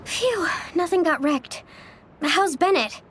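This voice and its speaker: Sociable game heroine: youthful, high and animated, cheerful and chatty. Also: female voice, slow pace, slight background noise, exaggerated voice. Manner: breezy, chatty. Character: upbeat, sociable, party-loving young woman. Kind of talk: animated video game voice acting.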